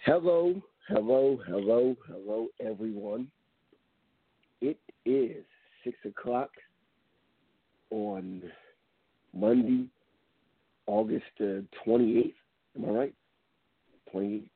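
A hoarse man hosting a radio talk show, talking casually and rambling with many ums. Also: lowish voice, takes it slowly, pauses often, no echo. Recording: tinny phone line.

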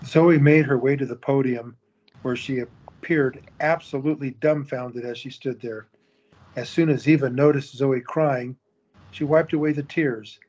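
Guy narrating audio drama, steady, bit dull lo-fi sound. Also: mid-range voice, measured pace, slightly rough.

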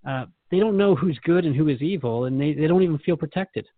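Male podcast host, animated pitch, quick pace, earnest questioning manner, reflective interview style. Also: no echo, phone-quality sound.

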